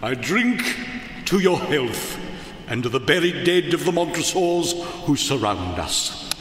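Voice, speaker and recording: An actor playing a tipsy, proud, foolhardy nobleman in live gothic radio theater, theatrical and halting, humming and muttering between phrases. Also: on the high side, sing-song, fairly bright tone. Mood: tipsy, jovial. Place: large hall before a live audience. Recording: echoey hall, live stage, faint noise.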